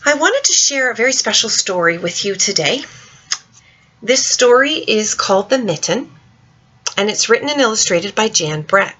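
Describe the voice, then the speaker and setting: A woman reads a children's storybook aloud in an expressive, dramatic way, speaking directly to young listeners, with a husky voice. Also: mid-range voice, normal speed. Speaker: storyteller reading a picture book to children.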